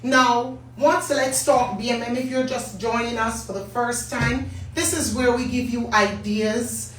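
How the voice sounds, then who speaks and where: Hoarse Jamaican woman hosting slowly. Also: on the high side, fairly even intonation. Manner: warm, chatty, greets viewers by name. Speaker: business talk show host. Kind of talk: casual live-stream talk. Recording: echoey room, distant mic, faint hum.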